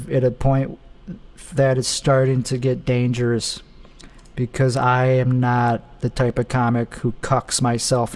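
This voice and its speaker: A man's voice: medium pitch, a little mellow. Young man rambling bluntly. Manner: self-mocking, blunt, loose and rambling. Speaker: podcast host. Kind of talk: casual rambling monologue.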